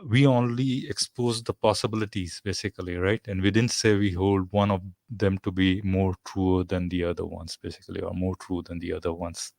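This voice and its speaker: Host: low-pitched man, measured debate talk, dry close sound. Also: little pitch movement, vocal fry, a little mellow, dry recording. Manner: measured, frequent "right?" and "basically". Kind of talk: live-stream debate talk.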